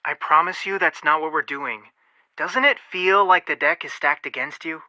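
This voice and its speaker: An actor playing a cautious, level-headed, skeptical young detective in scripted video game dialogue. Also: male voice, a little high, moderate pace, bright, forceful tone, no echo, lo-fi sound. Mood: concerned.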